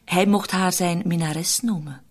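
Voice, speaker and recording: A woman's voice: fairly low pitch, steady tone, measured pace, darkish tone. An actress playing a cheerful, shrewd young woman who is an accomplice in crime, teasing and flippant, in a radio play. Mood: mocking. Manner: teasing, flippant, self-possessed. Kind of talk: Dutch-language radio play acting.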